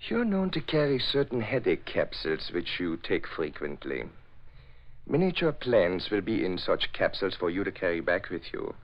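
An actor playing a stern, suspicious Nazi spymaster in 1940s radio drama style, with measured, emphatic delivery. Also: male voice, deep voice, big pitch swings. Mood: smooth, insistent. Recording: dull old radio recording.

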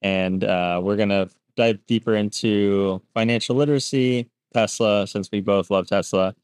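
A man hosts a relaxed interview podcast in a lowish, steady voice that stays flat in pitch. His tone is smooth and clear, and the sound is dry and close. He introduces his guest and asks easy, friendly questions with a few "uh"s. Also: moderate pace, no echo. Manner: friendly, short prompts, fillers. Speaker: podcast host.

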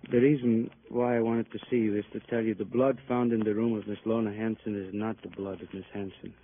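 Easygoing low-voiced American actor in radio crime drama. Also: male voice, little pitch movement, brisk, lightly acted. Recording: tinny, hissy old radio recording.

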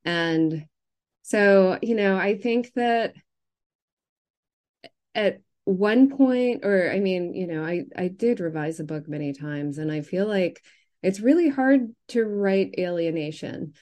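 A woman speaking as an interviewed novelist, reflecting casually and circling haltingly around her thoughts. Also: medium pitch, lively intonation, darkish tone.

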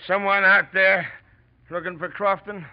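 An actor playing a shaken man on the run bluffing gruffly in a 1940s radio drama, curt and halting, with a gravelly voice. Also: sits high, fairly even intonation, no room sound. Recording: muffled old radio recording.

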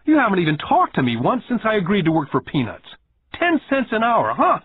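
A man voicing an indignant nine-year-old boy who confronts his boss, high, pleading and whiny. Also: huge pitch swings, brisk, slightly rough, full character voice. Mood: angry. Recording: tinny phone-like band.

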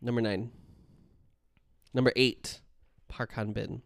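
Guy cohost, mid pitch, snarky and blunt, dry close mic. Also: steady tone, slow pace, creaky, dry recording. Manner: blunt, sarcastic, swears freely. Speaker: podcast co-host. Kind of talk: casual chat.

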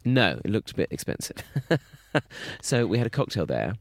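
A man's voice: fairly low pitch, very animated, normal speed, no echo. A young man co-hosting a podcast, telling stories in casual chat, with camp, chatty put-on quotes. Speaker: podcast co-host.

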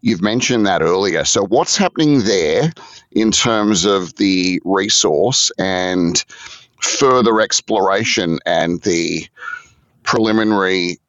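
Low-voiced male host, dull dry sound. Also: slow pace, fairly bright tone, dry recording. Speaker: podcast host. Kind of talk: interview.

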